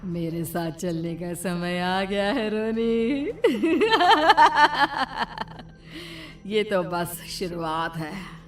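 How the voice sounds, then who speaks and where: A woman playing a boastful, menacing middle-aged banana-seller witch in an animated horror folk tale, with drawn-out threats and cackles. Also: fairly low pitch, music in the background. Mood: gloating, menacing.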